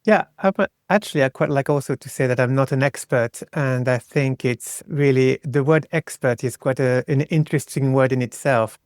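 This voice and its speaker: A man's voice: medium pitch, moderate pace, hardly any pauses, no room sound, clean studio sound. A middle-aged man from France with a French accent, speaking reflectively and explaining his thoughts in a conversational interview. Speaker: podcast guest, psychosexual psychotherapist.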